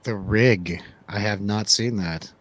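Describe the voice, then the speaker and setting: A man's voice: on the low side, slow. A man hosting a radio show, chatting casually and jokingly about films in an informal way. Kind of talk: casual film review banter.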